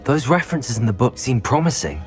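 A calm, loyal young British wizard hero, voiced by a man in a fantasy game. He is polite and measured, at an average male pitch. Faint music sits behind the voice. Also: close to an everyday voice. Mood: calm, sympathetic. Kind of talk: video game cutscene acting.

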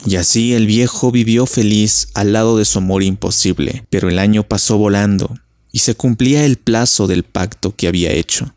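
Low-voiced male storyteller. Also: moderate pace, darkish tone, dry recording, studio quality. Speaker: narrator. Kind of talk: scripted legend narration, storytelling.

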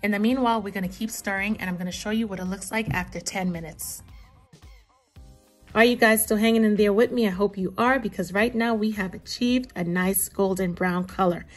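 A woman's voice: medium pitch, brisk, faint music behind. A woman narrating a recipe casually as a cooking host, chatty and playful, talking straight to viewers.

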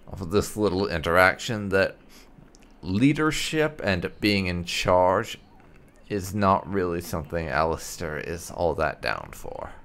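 A man giving casual roleplay game commentary in a musing, wry, drawn-out manner. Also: low-pitched, fairly bright tone. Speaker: gamer doing a roleplay playthrough.

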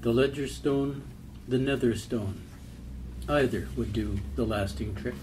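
A man reading a literary poem aloud in a measured, formal way. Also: medium pitch, noisy background. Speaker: poetry reader.

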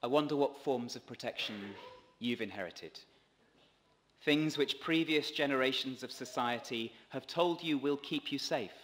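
A male priest gives a prepared sermon at a lectern microphone. His voice sits at a medium pitch, with careful, measured phrasing. Long reverb from a large stone church follows his words. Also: a bit bright, a little hiss or hum. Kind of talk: sermon read from a script. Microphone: gooseneck lectern microphone.

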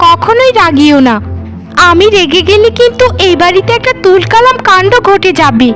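A woman's voice: extremely high pitch, expressive, moderate pace, fairly bright tone. A woman voicing an arrogant, spoiled young sister-in-law in a cartoon, scolding and threatening, with music behind her. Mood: indignant. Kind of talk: cartoon voice acting.

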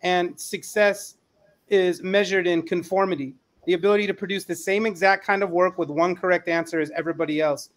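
A middle-aged man co-hosting a panel on a video call, speaking conversationally in an emphatic, deliberate way. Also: high voice, fairly even intonation. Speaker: co-host, educator.

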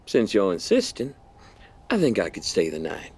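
An actor playing a smug, menacing army general in an audio drama, with an insinuating, mock-polite manner. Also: male voice, low-pitched, wildly swooping pitch, normal speed, darkish tone, slightly rough, exaggerated voice. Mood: smugly menacing.

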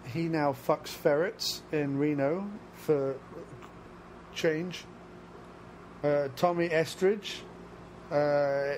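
A man's voice: on the high side, slight background noise, poor audio. A man co-hosting a podcast, riffing crudely on a movie with lots of fillers. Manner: rambling, joking, many fillers. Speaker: podcast co-host. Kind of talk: crude comedic movie commentary.

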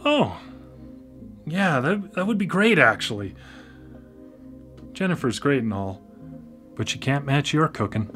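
Hesitant blind younger brother, lively higher voice. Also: male voice, a little slow, pauses often, soft background music. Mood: tired, appreciative. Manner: hesitant, stammering. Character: hapless, amiable blind man, younger brother of his housemate. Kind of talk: contemporary radio drama acting.

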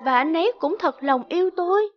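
Brazen, high-voiced young mistress. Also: female voice, animated, a little fast, muffled recording. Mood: smug. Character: brazen, defiant young mistress of a married man. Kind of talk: cartoon drama acting.

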